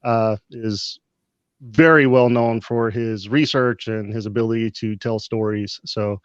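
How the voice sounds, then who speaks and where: A man's voice: fairly low pitch, fairly even intonation, a little slow, smooth, dry recording, lo-fi sound. A man hosting a streamed interview over a video call, upbeat, with frequent hesitations. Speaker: host.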